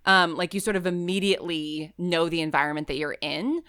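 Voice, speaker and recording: A woman with a fairly low yet bright, forward voice, recorded close and dry. She speaks as a guest in a relaxed interview, animatedly, often doubling back on her phrases as she thinks aloud. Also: fairly quick, clean tone, no echo. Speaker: guest, audio fiction writer and creator. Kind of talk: casual interview conversation.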